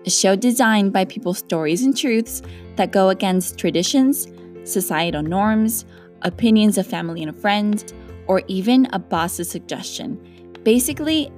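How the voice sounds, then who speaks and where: A woman hosting her own interview podcast, opening with a friendly scripted intro and then asking questions. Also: medium pitch. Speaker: podcast host.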